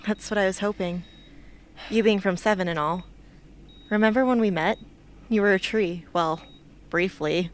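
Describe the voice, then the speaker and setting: A woman's voice: mid-range voice, normal speed, pauses often, natural voice. A woman playing a determined, defiant teenage girl soldier in an amateur radio drama. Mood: gentle. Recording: faint background noise and ambience.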